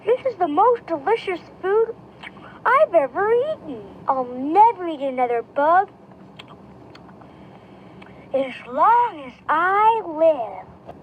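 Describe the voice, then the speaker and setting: Storybook role: young girl fruit bat, innocent and earnest, very high and animated, with faint noise behind. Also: full character voice, rough recording. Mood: delighted. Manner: childlike, expressive, drawn-out delivery. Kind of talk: children's storybook character voice.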